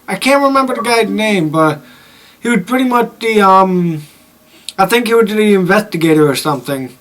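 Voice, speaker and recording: A man's voice: sits high, animated, normal speed, a bit bright. A man hosting a horror game video with informal, rambling commentary, swearing freely. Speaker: gaming video host.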